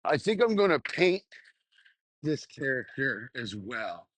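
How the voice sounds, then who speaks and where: Halting, candid young man, dry close sound. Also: medium pitch, very animated, a bit bright, dry recording. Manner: halting, candid, self-reflective, swears casually. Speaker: stand-up comedian. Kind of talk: casual rambling monologue, audio journal.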